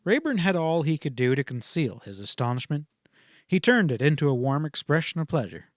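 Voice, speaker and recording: A man's voice: a little high, big pitch swings, brisk, dry recording. Man narrating a western, very dry sound. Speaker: audiobook narrator. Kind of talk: scripted narration of a western novel.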